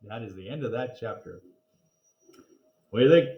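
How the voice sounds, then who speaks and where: A man's voice: medium pitch, animated, normal speed, highs cut off. A man reading a children's storybook aloud, with casual asides and simple explanations for young listeners. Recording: echoey, roomy sound.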